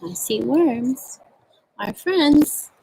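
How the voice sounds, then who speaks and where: A woman hosting a storytime for young children, explaining and reading aloud in simple words with little questions, in a slightly husky voice. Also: sits high, lively intonation, drawn-out words, dark tone, poor audio. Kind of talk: show-and-tell and read-aloud for young children.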